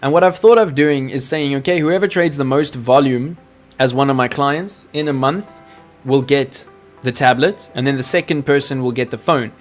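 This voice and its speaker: A man's voice: medium pitch, normal speed, soft background music. A man hosting a live crypto trading stream, talking to his chat in a hyped, salesy way. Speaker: crypto trading livestream host.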